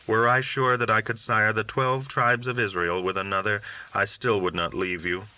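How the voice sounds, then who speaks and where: A man's voice: on the low side, level tone, normal speed, bright, forceful tone, dull audio. A man voicing a devout, doting and anxious husband in a folk tale, earnest and plaintive. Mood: tender, insistent. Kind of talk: audiobook character dialogue.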